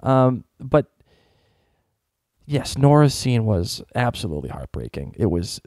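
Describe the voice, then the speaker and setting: Male podcast host, mid-pitched and mellow, informal banter, hesitant phrasing, dry sound. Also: sing-song, measured pace, dry recording. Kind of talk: casual TV fan podcast chat.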